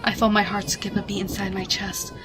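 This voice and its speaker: A woman giving a hushed, expressive, dramatic reading of a suspense story, with music behind her. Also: medium pitch, brisk, background noise. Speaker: fan fiction reader.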